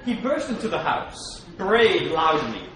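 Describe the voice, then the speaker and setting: A man's voice: high voice, sing-song, unhurried, recorded from a distance, a crowd in the background. A man reading a scripted animal fable aloud at a public program, with dramatic emphasis. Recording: very echoey, noisy room, off mic.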